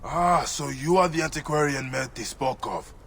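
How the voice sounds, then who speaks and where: A man's voice: fairly high pitch, normal speed, vocal fry, a bit bright, a little hiss or hum. An actor playing a weary, stern ancient Egyptian man in a game cutscene, terse and grave. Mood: probing. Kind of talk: dramatic game cutscene acting.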